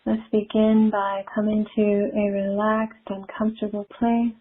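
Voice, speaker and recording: A woman leading a spiritual guided meditation in a soothing, gentle manner with long pauses, recorded from a live call. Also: medium pitch, monotone, breathy edge. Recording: muffled phone-like live call audio.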